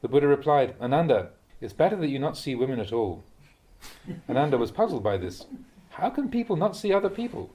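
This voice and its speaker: A man with a medium-pitched voice reads Buddhist teachings aloud. His delivery is measured and expressive, in the manner of an audiobook of a spoken dharma talk. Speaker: Buddhist monk reading translated teachings aloud.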